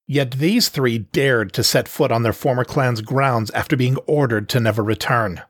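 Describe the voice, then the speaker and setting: A man's voice: mid-range voice, normal speed, slightly rough, no room sound, pitched above the performer's own voice, close to an everyday voice. Man voicing a mocking, menacing male panther gang leader. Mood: stern menace. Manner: mocking, theatrical, falsely gentle.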